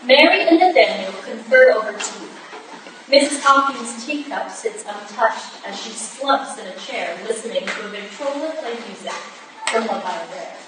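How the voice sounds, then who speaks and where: A woman's voice: fairly high pitch, animated, normal speed. A woman giving scripted narration, reading stage directions at a staged reading. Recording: echoey distant room with clear noise.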